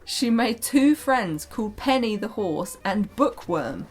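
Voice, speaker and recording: A woman's voice: medium pitch, expressive, takes it slowly. A young British woman reading her own childhood story aloud with casual, playful, self-mocking commentary. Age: young adult. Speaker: vlogger.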